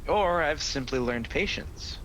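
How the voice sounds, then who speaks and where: A man performs a proud, sardonic devil in a radio play. His voice is fairly high and bright for a man, swinging theatrically as he tempts and taunts, over faint noise from a home recording. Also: animated, measured pace. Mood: mocking. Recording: noisy home video-call recording.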